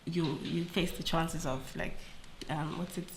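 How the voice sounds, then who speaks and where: A woman's voice: low voice, a little slow, slight background noise. A woman, a school principal, speaking earnestly in an interview, sounding nasal from a flu. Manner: earnest, animated, mixes in Zulu phrases. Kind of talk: interview conversation.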